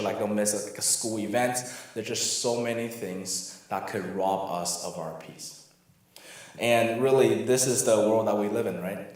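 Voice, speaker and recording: A middle-aged man from Taiwan who moved to the US as a child, giving an informal talk as a guest workshop speaker. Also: mid-range voice. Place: reverberant room in a church. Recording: very echoey church room.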